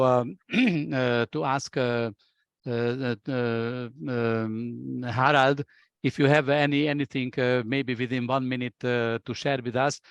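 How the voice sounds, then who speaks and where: A man's voice: mid-range voice, dry recording. A man moderating a webinar, hesitant, with many fillers and restarts, speaking over a video call.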